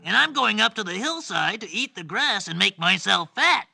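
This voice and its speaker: Man doing a tiny, high little billy goat. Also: sing-song, normal speed, gravelly, bright, forceful tone, full character voice. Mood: timid pleading. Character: little young male billy goat, timid and pleading. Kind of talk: children's storybook character voice.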